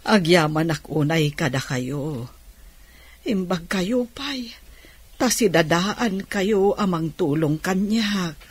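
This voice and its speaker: An actress playing an ailing older auntie, humbled and grateful, in an Ilocano radio drama, with a husky voice. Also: female voice, fairly low pitch, lively intonation, slow pace, no echo, exaggerated voice. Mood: remorseful, humbled.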